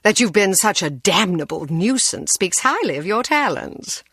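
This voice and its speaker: An actress playing a haughty, coolly cruel aristocratic villainess with a condescending, theatrical drawl in a video game. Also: female voice, mid-range voice, sing-song, moderate pace, a bit bright, husky, no echo, studio quality, full character voice.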